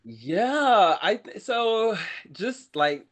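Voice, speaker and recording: A man speaking as a podcast guest, telling his own story in a casual, informal, animated way and often interrupting himself. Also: sits high, drawn-out words. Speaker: podcast guest, army veteran. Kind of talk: casual conversational personal storytelling.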